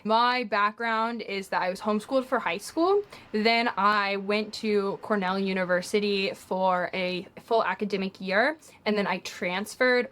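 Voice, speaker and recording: A woman's voice: mid-range voice, fairly bright tone. A woman in her twenties from Kentucky, a university student explaining at length in a casual interview, with frequent "like" and "um". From United States, Kentucky. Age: 20s.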